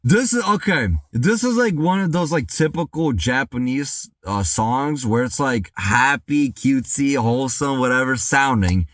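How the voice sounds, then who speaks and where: A young man chatting casually as he reacts to music, rambling through his thoughts with plenty of filler. His pitch leaps up and drops down all the time. His voice sounds a little worn, and the recording is dry and close with no room sound. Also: unhurried, few pauses, no room sound. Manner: rambling and excitable, full of "dude" and "like"; loud sudden outbursts when hyped. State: voice worn from singing practice.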